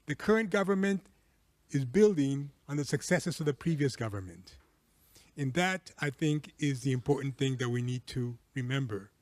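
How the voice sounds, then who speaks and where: A man from Peru giving earnest, measured conference panel remarks as a civil society network chair, with frequent um fillers. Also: fairly high pitch, no echo. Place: conference hall.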